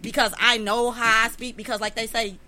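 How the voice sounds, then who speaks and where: A woman's voice: on the high side, little pitch movement, brisk, bright, forceful tone. A woman, speaking bluntly and emphatically as a panelist in a heated discussion. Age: 50s. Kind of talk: heated round-table discussion.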